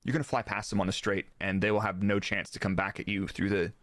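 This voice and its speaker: Low-voiced man explaining racing, dry close sound. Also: flat delivery, fast talker, fairly bright tone, no echo. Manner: explanatory, informal, illustrates with quoted remarks. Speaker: guest expert, former Formula 1 performance engineer. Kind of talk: casual technical motorsport analysis in a live interview.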